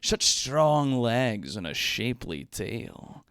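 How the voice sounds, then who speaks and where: A man's voice: mid-range voice, big pitch swings, a bit bright, smooth, no echo, studio quality, full character voice. Man acting a lecherous, pompous manor lord. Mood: leering. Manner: suggestive, pompous, slow wooing.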